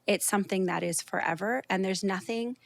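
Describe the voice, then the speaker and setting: A Canadian woman in her thirties speaks as a podcast guest, open and earnest. Her voice has a gravelly, creaky edge at mid pitch. She talks in loose, conversational runs. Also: fairly quick. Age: 30s. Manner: open, earnest, frequent fillers like "you know". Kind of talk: candid conversational interview.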